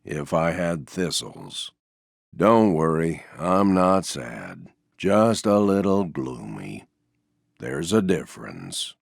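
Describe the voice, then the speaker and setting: A man voicing a gloomy, resigned, self-effacing stuffed donkey for a video game, with a mournful, deadpan, sighing delivery. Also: very deep voice, slow pace, vocal fry, no echo, studio quality, heavily acted. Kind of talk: video game character voice lines.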